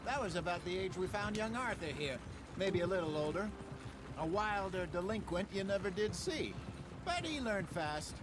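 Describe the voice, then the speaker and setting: Wry aging outlaw, high-pitched. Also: male voice, animated, normal speed, music in the background. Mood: wry, easygoing. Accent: American. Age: older. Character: wry, worried aging outlaw, elder of the gang. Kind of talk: naturalistic video game acting.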